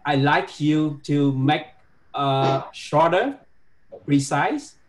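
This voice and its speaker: A man with a Vietnamese accent giving halting, repetitive classroom feedback on pronunciation, often tagging phrases with a questioning "huh?". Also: medium pitch, a little hiss or hum. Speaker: medical English teacher.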